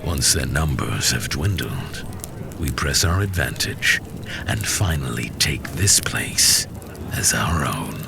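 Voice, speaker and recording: An extremely low, gravelly villain in a fantasy audio drama. He speaks slowly in a whispery growl, grandiose and menacing, with dramatic swings in pitch. He sounds like a warlord bent on conquest. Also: animated, background noise, full character voice. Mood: scheming, menacing. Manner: slow, grandiose.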